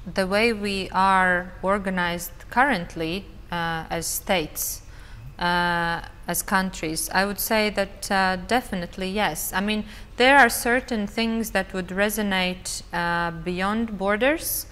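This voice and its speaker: A woman on a conference panel, speaking thoughtfully with frequent pauses and fillers. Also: mid-range voice, a bit bright, clear, resonant tone. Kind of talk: panel discussion. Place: conference hall. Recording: reverberant hall with faint noise.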